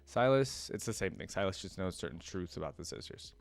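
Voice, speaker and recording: A man's voice: deep voice, big pitch swings, a little fast, gravelly, no echo. A man hosting a casual reaction video, reading reference text aloud and breaking off for informal commentary. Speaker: YouTube reaction host.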